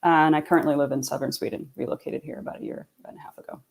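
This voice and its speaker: A woman's voice: low-pitched, fairly even intonation, racing, creaky. A woman from New York introducing herself as a sustainability consultant on a video call panel, chatty with frequent ums.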